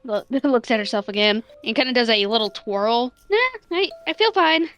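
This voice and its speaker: A woman's voice: a little high, lively intonation, moderate pace, a bit bright. A woman playing a tabletop roleplay game, improvising casual narration for her character.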